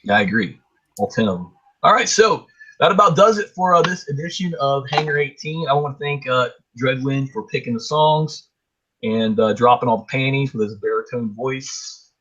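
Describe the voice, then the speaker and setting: A middle-aged man hosting a casual podcast, hoarse from illness, blunt and quick to swear. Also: medium pitch, expressive, sharp tone, muffled recording. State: hoarse, getting over a chest illness. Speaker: podcast host. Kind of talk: casual chat.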